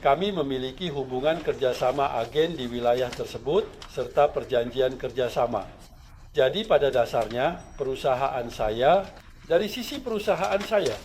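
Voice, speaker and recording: A man's voice: on the high side, a little mellow, a little hiss or hum. A man speaking as a company representative in an interview, explaining carefully and hesitantly. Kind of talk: interview statement.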